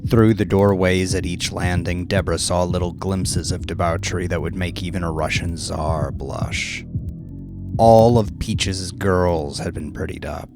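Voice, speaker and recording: A man's voice: low voice, steady tone, a little slow. A man narrating scripted crime fiction as an audiobook narrator, in a dramatic storytelling style. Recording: prominent music behind the narration.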